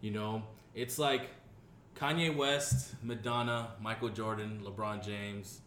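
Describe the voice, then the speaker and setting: A man's voice: lowish voice, steady tone, takes it slowly, a bit bright. A man co-hosting a casual podcast, joking and swearing, talking loosely with lots of fillers. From Dominican family. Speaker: podcast co-host. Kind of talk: casual chat. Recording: echoey room with faint hiss.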